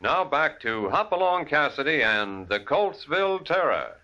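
Dramatic old-time radio announcer, animated man. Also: on the high side, moderate pace. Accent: American. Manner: theatrical, grandiose. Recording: muffled vintage radio recording. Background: music.

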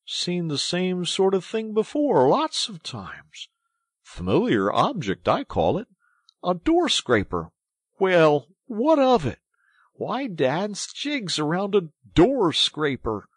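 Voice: medium pitch, wildly swooping pitch, slow pace, breathy edge, no room sound, clean studio sound, pitched above the performer's own voice, full character voice. A narrator voicing a grumpy, injured mole from a storybook, plaintive, complaining and stubbornly literal, with emphatic delivery. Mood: pettish, indignant. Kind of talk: animated storybook character reading.

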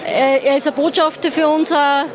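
Female mayor, fifties, Upper Austrian accent, high pitch, event interview over crowd sound. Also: flat delivery, moderate pace. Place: large indoor event hall. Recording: crowd noise behind.

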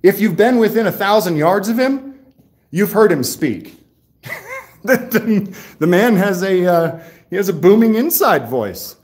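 A man livestreaming from a sheriff's office lobby, talking loudly and casually and rambling with many fillers and restarts. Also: high voice, expressive, unhurried. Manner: loud voice, by his own account. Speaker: livestreaming channel host.

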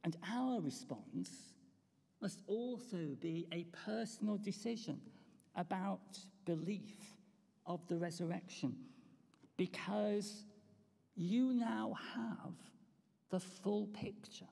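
A slow, unhurried preacher delivers an Easter sermon, pausing to ask the congregation rhetorical questions. The voice is dark and mellow, with a gravelly edge. A long church reverb trails after each phrase. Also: medium pitch.

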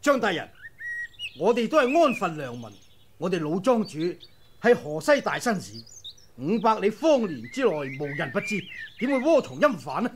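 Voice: medium pitch, big pitch swings, moderate pace, a little hiss or hum, natural voice. An actor playing an anxious, servile household butler in a radio drama. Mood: flustered. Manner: fawning, flustered. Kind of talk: 1990s radio drama acting.

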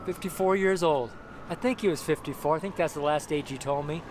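Middle-aged street preacher, noisy sidewalk. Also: male voice, a little high, fairly quick. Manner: rambling, repeats himself, many restarts and fillers. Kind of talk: informal outdoor sermon to camera. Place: outdoors on a city sidewalk by a brick wall. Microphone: camera close up, handheld. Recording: noisy outdoor street recording. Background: street traffic noise.

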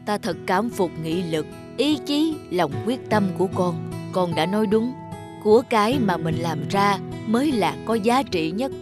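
A woman's voice: medium pitch, animated, measured pace, over music, close to an everyday voice. Woman voicing an imperious old cartoon king. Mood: solemn, approving. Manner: imperious, commanding. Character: proud, stern old king, quick to anger. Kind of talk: cartoon fairy tale character voice.

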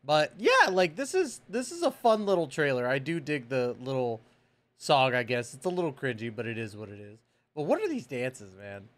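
A man's voice: medium pitch, sing-song, normal speed, fairly bright tone. An American man in his thirties hosting a reaction video with casual, slangy, exclamatory commentary into a close microphone. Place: small indoor room full of collectibles. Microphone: close microphone on boom arm.

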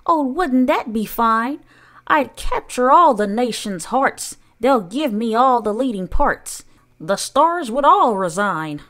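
A woman's voice: a little high, sing-song, takes it slowly, fairly bright tone, voice pushed up, heavily acted. A woman voicing a vain, bossy aunt from a storybook in a pompous, theatrical manner. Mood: vain, gushing. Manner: theatrical, pompous, self-admiring. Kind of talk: storybook character voice.

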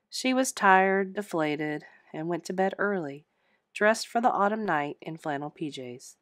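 A woman with a slightly low voice reads a cozy mystery novel aloud as an audiobook narrator. The recording is dry and close, with little room sound. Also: no room sound.

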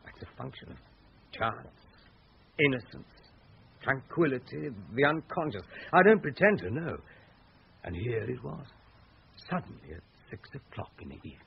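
A man's voice: mid-range voice, sing-song, moderate pace, vocal fry, husky, full character voice. A man acting in a radio drama as a suspicious, obsessive husband, a pedantic researcher who questions insistently. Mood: intent, musing. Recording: muffled lo-fi radio drama recording.

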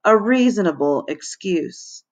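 Woman reading as a calm, deadpan male schoolteacher. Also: fairly low pitch, exaggerated voice. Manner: measured, politely deadpan. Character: calm, courteous, eccentric adult male schoolteacher.

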